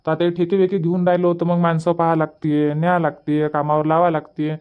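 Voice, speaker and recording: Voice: medium pitch, level tone, a little fast, smooth, narrow, tinny audio, natural voice. A performer voicing a polite, busy young village man in a Marathi cartoon comedy, with a Varhadi accent and a respectful, coaxing manner. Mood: earnest. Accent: Varhadi Marathi from Vidarbha.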